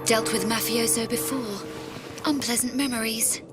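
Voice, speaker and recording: An actress playing a confident, flippant young adventurer with a British accent, wry and clipped, in a video game. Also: female voice, a little high, steady tone, normal speed, over loud music, natural voice. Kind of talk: video game cut-scene acting.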